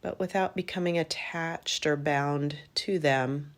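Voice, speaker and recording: Female meditation guide: low register, very slow delivery, calm and gentle, roomy pauses between phrases. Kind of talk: guided yoga nidra meditation.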